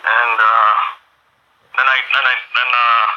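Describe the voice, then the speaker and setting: A man conducting an informal phone interview, asking questions in a halting, repetitive way. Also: medium pitch, flat delivery, sharp tone. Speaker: interviewer, community watch member. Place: telephone call. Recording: band-limited phone call with faint noise.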